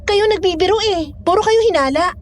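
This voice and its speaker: A very high-voiced young Filipino woman, played by an actress, in a horror audio drama. She is skeptical and irritable, quick to argue and brush off everyone else's worries. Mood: scornful. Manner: dismissive, argumentative. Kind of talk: Tagalog audio drama acting.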